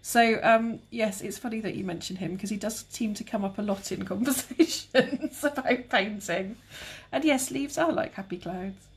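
Calm tutor, young woman, breathy, easygoing live demo talk. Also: medium pitch, normal speed. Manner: calm, relaxed, chatty with viewers. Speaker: cake decorating tutor. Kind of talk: live demonstration with chat.

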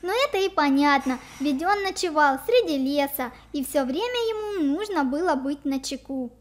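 A children's gaming channel host giving let's play commentary and shout-outs in a cutesy, presentational way. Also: high voice, animated, moderate pace. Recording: music bed under the voice. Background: background music.

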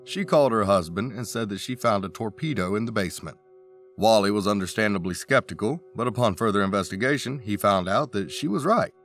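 A man's voice: lowish voice, lively intonation, a little fast, fairly bright tone, soft background music. A man reading a scripted countdown narration, dropping wry asides between the facts.

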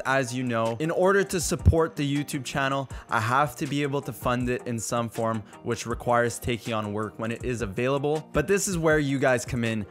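A man in his twenties hosting a fishing channel, giving an earnest, upbeat scripted update straight to camera from a small studio on a close mic. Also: mid-range voice. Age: 20s. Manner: earnest and upbeat, addresses viewers as "you guys". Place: small dark indoor studio room. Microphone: studio mic on a boom arm, close.

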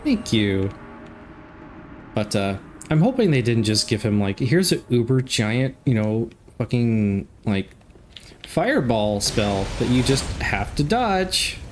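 A young man with a stuffed-up nose giving casual, jokey commentary while playing a game, breaking into excited outbursts. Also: mid-range voice, slow pace, a little hiss or hum. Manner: chatty, jokey, excitable outbursts during fights. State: stuffed up from a cold. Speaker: gameplay commentator. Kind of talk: casual let's play commentary. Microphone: desk microphone.

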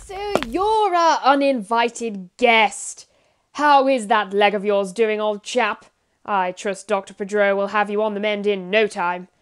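A woman playing a breezy, chummy gentleman cricketer in a 1930s radio drama. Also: mid-range voice, measured pace, smooth, close to an everyday voice.

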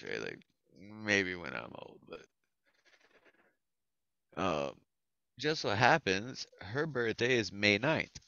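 A man's voice: fairly low pitch, vocal fry, pushed and bright, dry recording. An American man in his thirties hosting an open mic over a video call, chatting casually and effusively with plenty of slang and swearing. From Florida, United States. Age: 30s. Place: indoors at a computer, video call. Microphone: headset. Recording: dull, lo-fi video call audio.

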